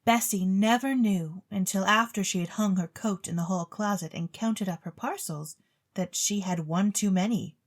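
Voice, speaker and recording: A woman's voice: medium pitch, normal speed. Woman narrating a storybook tale. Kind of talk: scripted storybook narration, theatrical.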